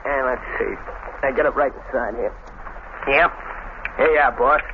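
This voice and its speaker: A man's voice: medium pitch, moderate pace, vocal fry, narrow, tinny audio, natural voice. An actor playing a callous gangster henchman with a tough, slangy, offhand manner in a 1930s radio drama. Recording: thin, hissy 1930s radio recording.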